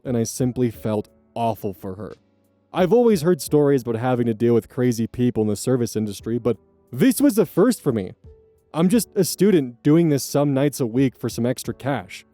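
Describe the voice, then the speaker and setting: Animated, mellow-voiced male story reader. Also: medium pitch, dry recording. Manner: animated, blunt and profane in asides. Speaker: host reading Reddit stories. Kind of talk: story reading with casual commentary.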